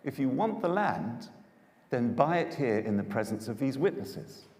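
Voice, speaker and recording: A man playing a kindly, honourable older landowner who speaks with earnest, deliberate authority. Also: mid-range voice, big pitch swings, a little mellow, pitched above the performer's own voice. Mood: businesslike, firm. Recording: echoey hall with long reverb.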